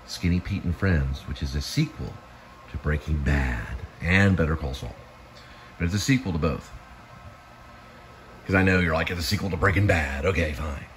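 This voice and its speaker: A man hosting his own show, talking in a rambling, casual monologue with a halting, emphatic manner that circles back on itself. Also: low-pitched, a little hiss or hum. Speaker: show host.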